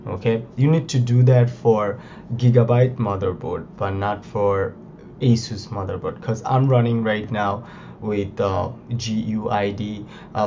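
A man with a hoarse voice from being sick, casually walking through a step-by-step computer setup guide and filling his talk with "you know" and "like.". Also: fairly low pitch, a little mellow. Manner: informal, many fillers like "you know" and "like". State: hoarse from illness. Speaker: tech video host. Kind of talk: casual tutorial walkthrough. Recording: slightly dull lo-fi with faint noise.

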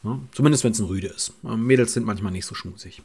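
A man's voice: lowish voice, brisk, darkish tone, breathy edge. A middle-aged man sits at his desk webcam in a home office and talks through dog training advice. His delivery is casual and unscripted. He thinks aloud, with frequent fillers and restarts as he works out his answer. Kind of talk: advice video, reading and answering a viewer letter. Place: home office with bookshelves, indoors.

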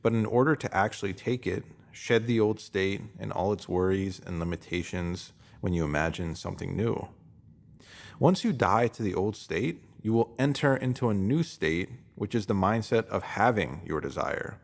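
A man narrating a scripted self-help text in an instructive, measured way, posing emphatic rhetorical questions. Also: fairly low pitch, expressive, gravelly. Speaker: narrator reading a written post.

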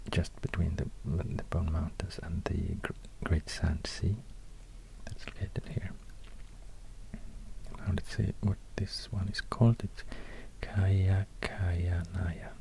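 A man with a Swedish accent speaking in soft ASMR whispers, halting and murmuring as he goes. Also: low-pitched, little pitch movement, creaky, low-quality recording. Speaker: ASMR video host. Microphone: close binaural microphone.

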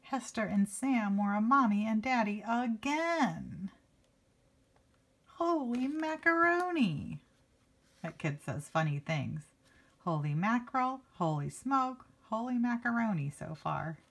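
A woman's voice: on the high side, big pitch swings, slow pace, gravelly, fairly bright tone. A woman reading a picture book aloud to children like a teacher, expressively stretching key words and adding casual asides. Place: indoors, book held before a handheld camera.

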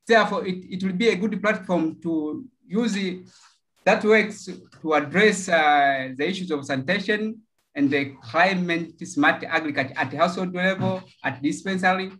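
A man presents a project pitch over a video call. His delivery is halting, with frequent fillers and repeated words. His voice sits a little above the usual male range. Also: a bit bright, rough recording. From Tanzania. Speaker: project pitcher from a local NGO.

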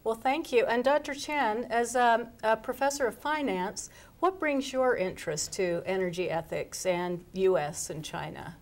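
A woman's voice: a little high, animated, moderate pace. Middle-aged woman hosting a panel. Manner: welcoming, poses questions to each guest. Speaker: host, moderator. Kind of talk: hosting a studio panel discussion. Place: television studio set. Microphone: lapel mic.

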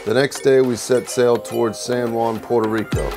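Give me a relaxed man narrating a sailing vlog over loud music. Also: medium pitch, normal speed. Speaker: vlogger and filmmaker.

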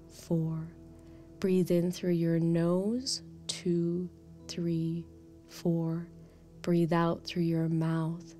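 A woman playing a calm, nurturing guide who leads children through a meditation in a soft, soothing, gently instructing way. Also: lowish voice. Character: Dynamo Spiritus, calm nurturing meditation guide addressing youngsters. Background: background music.

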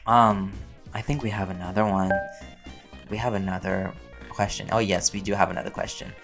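A man chatting casually and playfully as he answers fan questions, rambling along in an expressive way. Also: lowish voice, normal speed, creaky, music in the background. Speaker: voice actor and audio roleplay creator answering fan questions.

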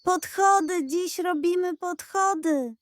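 A woman's voice: very high voice, takes it slowly, voice pushed up. A woman voicing a cheerful, adventurous little male puppy in a children's fairy tale. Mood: excited, eager.

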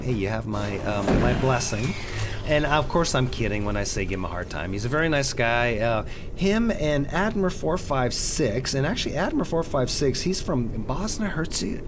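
A man giving casual, rambling play-through commentary as a gaming video host. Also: mid-range voice. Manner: chatty, rambling, jumpy exclamations. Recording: noisy voice-over with game sounds. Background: video game sounds.

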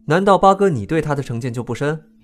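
Casting: male actor as frank, indignant youngest prince for audio drama, animated and expressive delivery. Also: fairly high pitch, fast talker, soft background music, close to an everyday voice. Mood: indignant, insistent.

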